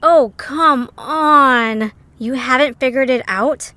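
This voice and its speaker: A woman's voice: high-pitched, takes it slowly, sharp tone, no echo, exaggerated voice. A woman playing a haughty, condescending young female creature with easily hurt pride in an amateur audio drama. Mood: exasperated, confessing. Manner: scornful, condescending.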